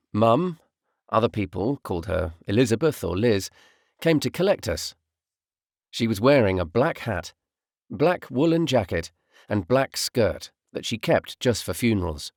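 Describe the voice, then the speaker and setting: Man, audiobook narrator. Reflective storytelling, animated pitch movement, close dry recording. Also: no room sound. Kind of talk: literary first-person audiobook narration.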